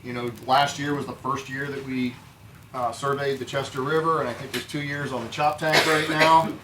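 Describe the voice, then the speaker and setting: A man's voice: mid-range voice, little pitch movement, moderate pace, distant mic, very noisy. A man giving a conference talk as a field biologist, plainspoken and informal.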